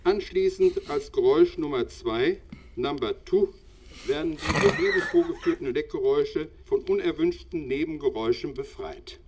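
Actor as stiff, higher-voiced male instructional-record narrator. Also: moderate pace, a little mellow, a little hiss or hum, lightly acted. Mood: earnest, instructive. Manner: stiff, precise, pedantic. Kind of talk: formal scripted instructional narration, old-fashioned.

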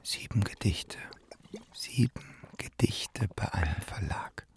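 Infatuated young male poet for radio play: low, unhurried, brooding, half-whispered inner monologue. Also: animated, creaky, darkish tone, breathy edge, exaggerated voice. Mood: hushed wonder.

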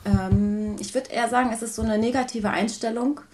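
A woman from Germany, a guest in an interview, talking about her life in a thoughtful, hesitant way with many pauses. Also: a little high, steady tone, dark tone, soft background music. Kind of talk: personal conversation.

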